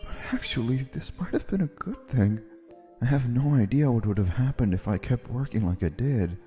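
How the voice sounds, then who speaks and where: A man's voice: mid-range voice, normal speed, soft background music, lightly acted. A man voicing an exhausted, polite young office worker who gets flustered, in an earnest manga dub style. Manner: earnest, weary, expressive. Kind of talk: anime-style manga dub narration and dialogue.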